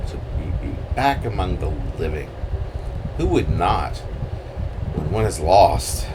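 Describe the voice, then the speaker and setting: A man reading his own poem aloud in a theatrical, halting manner with swooping emphasis. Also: lowish voice, background noise. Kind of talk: dramatic poetry reading.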